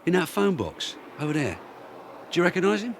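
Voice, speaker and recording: An English actor playing a seasoned, wry police detective sergeant in a British radio drama. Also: male voice, sits high, very animated, a little fast, creaky, a little hiss or hum, exaggerated voice. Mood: wry, grumbling.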